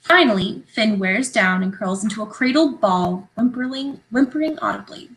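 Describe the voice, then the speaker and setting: Bright-voiced woman reading stage directions. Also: fairly high pitch, normal speed, a little hiss or hum, rough recording. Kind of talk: scripted narration.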